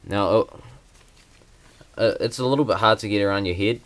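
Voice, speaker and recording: A man's voice: on the low side, normal speed, a bit bright. A young man, talking hesitantly with many fillers in a casual vlog. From New Zealand. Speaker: vlogger.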